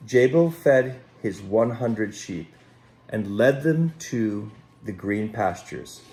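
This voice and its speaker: A man reading a children's story aloud in an expressive, dramatic way, pausing to speak directly to young listeners. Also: on the low side. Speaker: Sunday school storyteller. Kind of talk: animated children's story read aloud with asides. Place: at home.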